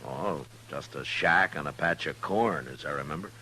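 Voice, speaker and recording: An actor playing a steady, gruff American frontier marshal, laconic and dry-witted, in an old-time radio western. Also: male voice, rumbling low, normal speed, heavily acted. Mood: probing, wary. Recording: noisy, humming vintage radio recording.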